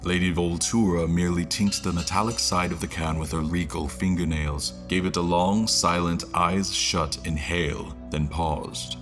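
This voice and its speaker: A man plays a wry adventurer recounting his memories in a fantasy audio drama. His voice is low, his telling ornate and literary. Music runs clearly behind him. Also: fairly even intonation, normal speed, hardly any pauses, lightly acted. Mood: measured. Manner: ornate, descriptive storytelling. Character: male crew member narrating his memories, literary and wry.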